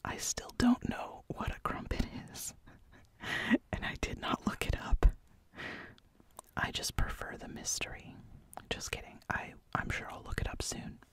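An American speaker chatting in a soft, unvoiced ASMR whisper, musing and laughing at their own ideas. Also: measured pace, pauses often. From United States.

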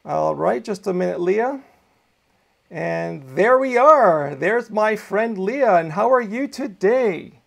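A middle-aged man from Greece hosts a live online show with upbeat enthusiasm. His voice sits a touch high for a man and has a gravelly, creaky edge. He talks seated at a desk in a small studio. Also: animated, takes it slowly. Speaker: host. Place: home video studio.

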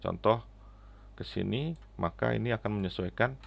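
A middle-aged man giving a casual, halting, step-by-step app walkthrough into a headset mic. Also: on the low side, vocal fry. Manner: halting, step-by-step explaining with frequent "ya" tags. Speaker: app reviewer and tutorial presenter. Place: indoors at a computer, keyboard clicks. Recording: slightly dull, lo-fi audio.

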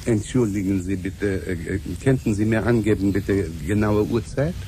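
An actor playing an awkward, overly polite scientist with a foreign accent and halting phrases in a radio drama. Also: male voice, fairly low pitch, lightly acted. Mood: hesitant, courteous. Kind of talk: 1970s radio drama acting. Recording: noisy old radio drama recording.

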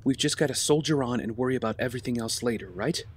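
A man's voice: medium pitch, quick pace, breathy edge, natural voice. A man playing an earnest, burdened young vigilante leader in an audio drama, serious and sincere. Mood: pained, sincere. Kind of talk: naturalistic audio drama acting.

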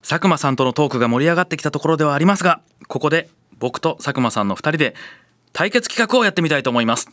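A man's voice: a little high, lively intonation, rapid-fire, a bit bright. A man hosting a radio talk show, chatting with his guests.

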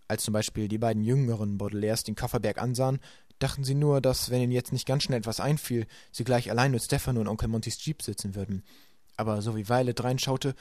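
A man reading a children's novel aloud as a hobby audiobook, lively and raising his voice for the characters. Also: no echo. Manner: lively storytelling, acts children's voices higher. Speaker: audiobook reader.

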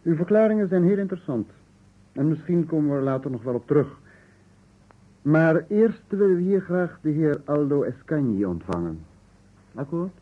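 An actor playing a polite but sceptical, businesslike interrogator in a vintage Dutch radio drama, speaking formally and directly. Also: male voice, on the high side, sing-song, moderate pace, husky. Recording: muffled, narrow-band old radio recording.

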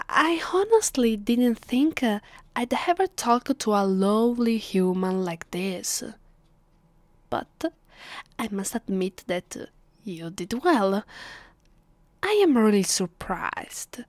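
Woman acting haughty vampire lady w italian accent, asmr roleplay, soft n whispery, super slow, close dry mic, bossy. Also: medium pitch, no room sound. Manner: condescending, commanding, unhurried. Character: haughty, teasing ancient female vampire mistress. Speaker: voice actress. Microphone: close, dry microphone.

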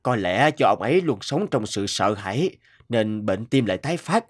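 Grave, measured cartoon doctor. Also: male voice, fairly low pitch, animated. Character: calm, grave male doctor.

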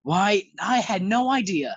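A woman's voice: medium pitch, animated, measured pace, husky, no room sound. Woman playing breezy bachelor playboy, slightly muffled. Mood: astonished. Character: breezy, girl-chasing bachelor man Corey. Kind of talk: 1940s radio sitcom acting. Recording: slightly dull, lo-fi sound.

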